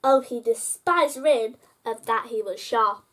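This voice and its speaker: A woman's voice: on the high side, unhurried, fairly bright tone. A woman hosting a story time, reading a children's picture book aloud and addressing young listeners directly.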